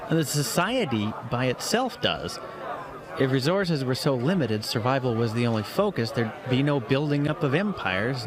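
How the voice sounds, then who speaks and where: A man acting in an audio drama as a skeptical, excitable writer friend, with a hesitant, expressive delivery. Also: medium pitch, lightly acted. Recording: music behind the voice. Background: music.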